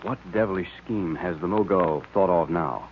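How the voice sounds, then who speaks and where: An American man announcing on a 1940s radio show in an emphatic, enthusiastic sales style with a husky voice. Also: low-pitched, normal speed, slight background noise. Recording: tinny vintage radio recording.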